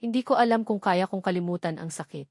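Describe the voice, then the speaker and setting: A woman voicing a hurt but firm expectant mother in an audio love story, speaking softly, steadily and with some hesitation. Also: mid-range voice, quick pace, no echo, clean studio sound, close to an everyday voice. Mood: guarded, hurt.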